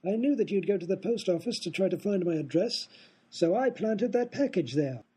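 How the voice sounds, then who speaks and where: Guy doing a smug scheming lord in a radio comedy, high husky voice, dark tone. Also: expressive, moderate pace. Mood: explaining. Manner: smug, explaining at length. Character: smug scheming male aristocrat prankster. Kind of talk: comedic radio play acting.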